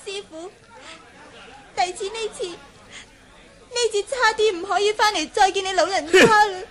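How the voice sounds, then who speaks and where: An actress with a Hong Kong Cantonese accent playing a meek, faltering young novice nun in a radio drama. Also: female voice, very high-pitched, a little slow, a bit bright, some chatter behind. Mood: tearful. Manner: submissive, faltering. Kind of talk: 1980s radio drama acting.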